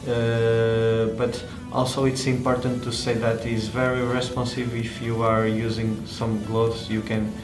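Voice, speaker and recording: Non-native english guy doing a gadget demo, slow n halting, guitar music behind him. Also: medium pitch, completely flat delivery. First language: not English. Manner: halting, searching for words. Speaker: tech reviewer. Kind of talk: unscripted hands-on product demonstration. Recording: echoey room with music behind.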